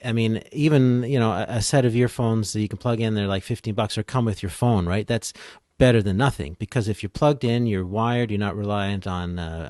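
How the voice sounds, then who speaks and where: A man's voice: fairly low pitch, a little mellow, dry recording, clean studio sound. A man speaking as a podcast guest expert, explaining things in a casual, plain-spoken and practical way.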